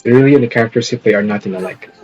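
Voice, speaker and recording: A man streaming a game, casually reading dialogue aloud in a hesitant, halting way through a band-limited microphone. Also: mid-range voice, dull audio. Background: game music.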